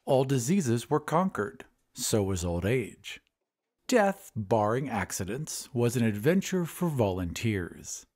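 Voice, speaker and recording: A man hosting a podcast and narrating an audio drama in a measured, expressive way. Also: medium pitch. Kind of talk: scripted storytelling narration.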